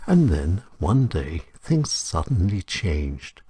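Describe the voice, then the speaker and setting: A man with a husky voice reading short stories in a measured, dramatic way, with short phrases and pauses. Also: low voice, big pitch swings, warm tone. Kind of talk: scripted short story narration.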